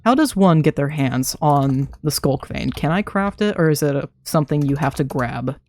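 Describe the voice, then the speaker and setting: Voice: mid-range voice, expressive, moderate pace, darkish tone. A young adult streamer chatting casually to themself while playing a game, laughing often, throat a little dry. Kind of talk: casual live gameplay commentary.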